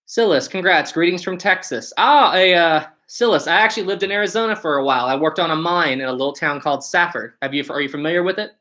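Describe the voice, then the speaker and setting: Guy with a west virginia accent chatting on his livestream, kinda high voice, really bright and informal. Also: fast talker, hardly any pauses. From West Virginia, United States. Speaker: livestream host. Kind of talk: casual livestream chat, answering viewer comments.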